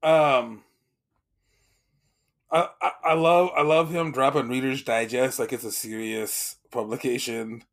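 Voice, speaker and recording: A man chatting casually about a book, wry and often laughing, halting with restarts. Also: medium pitch, steady tone, fairly bright tone. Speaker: podcast co-host.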